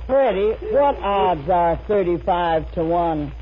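A woman's voice: on the low side, expressive, slow. A woman playing a sassy, jealous, good-humored housemaid in a 1950s radio comedy, with a folksy, wry delivery. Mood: worried, exasperated. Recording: muffled, noisy 1950 radio recording.

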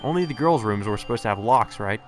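A man's voice: on the low side, lively intonation, fast talker. Man narrating a game over music. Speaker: let's play host. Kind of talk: reading a visual novel aloud with casual commentary.

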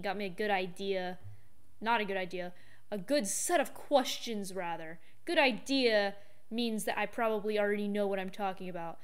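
A woman giving casual reaction commentary as a gaming video host, thinking aloud in a halting way. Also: mid-range voice, smooth.

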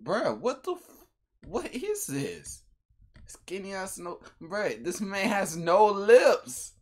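A young man reacts casually in slangy, exclamatory bursts. His husky voice swings up and down, though he takes his time getting the words out. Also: high-pitched, huge pitch swings, slow, a little mellow. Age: young adult. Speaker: reaction video host. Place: small room at home, indoors.

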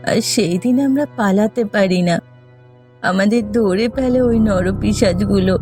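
High, tearful ghost girl played by a woman. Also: mellow. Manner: tearful, pleading. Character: anguished ghost of a wronged, starving village girl. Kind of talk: dramatic cartoon voice acting. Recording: music behind the voice.

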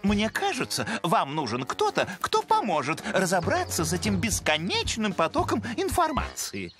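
Animated, pompous cartoon owl lecturer. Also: male voice, high voice, moderate pace. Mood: earnest, lecturing. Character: erudite excitable male owl, grown-up explainer. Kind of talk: cartoon educational dialogue. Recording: music under the voice.

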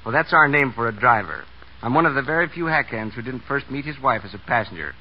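American bus driver guy on 30s radio, folksy, medium pitch, dull recording with some hiss. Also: expressive, fast. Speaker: bus driver, interview guest. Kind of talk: folksy scripted 1930s radio interview.

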